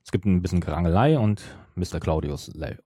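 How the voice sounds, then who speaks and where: Low, lively, mellow man podcasting. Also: brisk, husky, dry recording, clean studio sound. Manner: hesitant, self-correcting, many broken-off restarts. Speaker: podcast co-host. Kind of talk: casual podcast talk, retelling a story.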